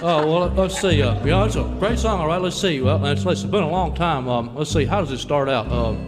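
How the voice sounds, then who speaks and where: A man's voice: fairly high pitch, a little fast, over loud music, lightly acted. A man playing a folksy, evasive impostor posing as a singing star in live radio comedy. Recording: noisy hall with live audience.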